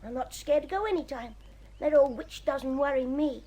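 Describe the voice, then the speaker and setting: A woman's voice: high voice, moderate pace, dark tone. A woman playing a cocky, scornful young boy in a radio drama, boastful and taunting.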